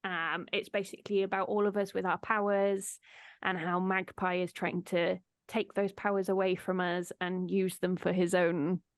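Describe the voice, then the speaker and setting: A woman's voice: fairly low pitch, flat delivery, moderate pace, no room sound. Chatty, giggly woman hosting, dry sound. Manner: informal, giggly, freely swearing. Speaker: host of an audio drama behind-the-scenes chat. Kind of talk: casual chat.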